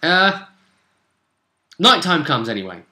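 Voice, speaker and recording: A man with a bright, crisp-edged voice recaps a tabletop roleplaying adventure. He talks casually and a little haltingly, as a game master would. The room around him rings with noticeable echo. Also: on the high side, sing-song. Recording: echoey room.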